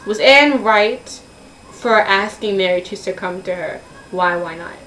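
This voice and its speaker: A woman giving her opinion in a casual vlog, earnest and opinionated, halting and correcting herself as she goes. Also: mid-range voice, a bit bright. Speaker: vlogger posing opinion questions to viewers. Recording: roomy echo, distant mic, faint hiss.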